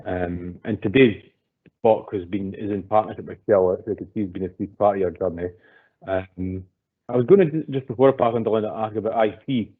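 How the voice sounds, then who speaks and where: A man with a lowish voice hosts an online masterclass, thanking the guest and asking long, friendly, rambling questions padded with ums and you knows. His sound is thin and tinny, like a phone line. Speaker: event host.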